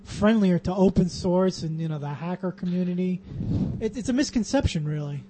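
A man's voice: high voice, lively intonation, a little fast, warm tone, a little hiss or hum, rough recording. A man co-hosting a casual interview, chatty and joking as he asks questions. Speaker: co-host.

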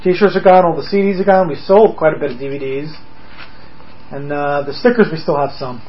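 A hoarse man hosting a casual podcast chat, telling stories in a quick, upbeat way. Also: on the high side, slight background noise. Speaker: podcast host. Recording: dull lo-fi recording.

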